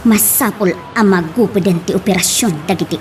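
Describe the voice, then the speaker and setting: A woman's voice: lowish voice, a little fast, close to an everyday voice. An actress with an Ilocano accent playing an earnest, insistent young policewoman in a radio drama. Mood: businesslike.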